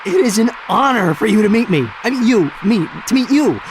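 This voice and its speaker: A man's voice: high-pitched, animated, brisk, noisy background. A man voicing an eager, wry young male hero in a video game cutscene. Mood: flustered.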